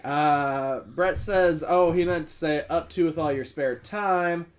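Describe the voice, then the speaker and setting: Higher-voiced man in casual banter. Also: takes it slowly. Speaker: podcast co-host.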